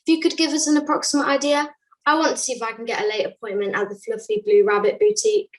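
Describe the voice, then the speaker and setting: A woman's voice: high voice, lively intonation, fast, far from the mic, rough recording. A young woman acting a vain, pampered puppet with affected, gushing manners in an amateur puppet show. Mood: affected, breezy. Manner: affected, gushing "darling" talk. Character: vain, pampered luvvie puppet, boutique regular.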